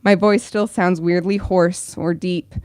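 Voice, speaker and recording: Low-voiced woman reading her own story. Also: fairly even intonation, a little mellow, clear, resonant tone, dry recording, studio quality. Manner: friendly welcome, then measured reading. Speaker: bookstore events director. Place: bookstore event room with audience.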